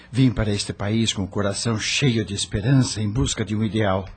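Sorrowful low-voiced widowed father, played by a man. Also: husky, heavily acted. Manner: melancholic dramatic monologue. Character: disillusioned widowed father returning home, anxious, sorrowful. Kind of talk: radio drama acting.

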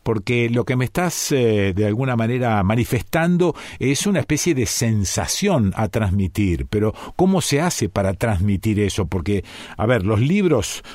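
A man's voice: lowish voice, expressive, normal speed, darkish tone, dry recording. A man with an Argentine accent interviewing a guest, pushing for clarification and giving frequent short affirmations. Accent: Argentine Spanish. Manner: questioning, many brief affirmations.

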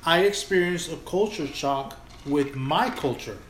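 Animated man in an echoey room. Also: a little high, measured pace, a little hiss or hum. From Puerto Rican family, raised in Houston. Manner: animated, keeps saying "you know what I'm saying". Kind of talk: casual chat.

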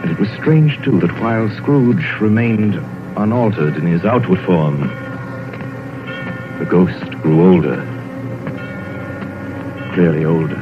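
A man narrating a scripted vintage radio drama with measured, solemn phrasing. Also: deep voice. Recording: muffled vintage radio broadcast, music behind.

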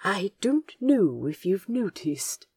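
A woman playing a gentle, smitten, politely teasing man in audio fiction, speaking with precise, soft phrasing. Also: medium pitch, very animated, slow pace, slightly rough, no echo, heavily acted. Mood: shyly fond. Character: male Aziraphale, gentle, delighted, teasing, smitten know-it-all.

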